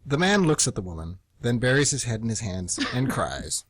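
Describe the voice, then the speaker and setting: A man's voice: medium pitch, very animated, moderate pace, fairly bright tone, slightly rough, no echo, studio quality. A man narrating a radio play, reading scripted stage directions.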